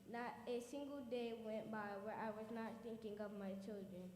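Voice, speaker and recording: A woman's voice: on the high side, moderate pace, darkish tone, lightly acted. A young woman playing a determined 1800s abolitionist woman. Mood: earnest. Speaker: youth performer in a church skit. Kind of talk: stage acting in a church program. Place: church hall. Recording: echoey hall with long reverb, faint noise.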